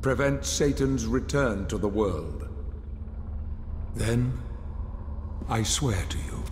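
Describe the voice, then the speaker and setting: An actor playing an ancient, scheming lord in a game cutscene, speaking formally and deliberately with courteous, commanding menace. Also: male voice, mid-range voice, animated, a little mellow, slight background noise. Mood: calm menace. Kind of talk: dramatic video game cutscene acting. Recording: echoey long reverb, music behind.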